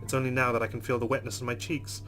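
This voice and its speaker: A man hosting a let's play reads a visual novel's text aloud with dramatic emphasis. His voice sits at an ordinary pitch. Game music plays clearly behind him. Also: level tone, very fast. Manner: dramatic reading.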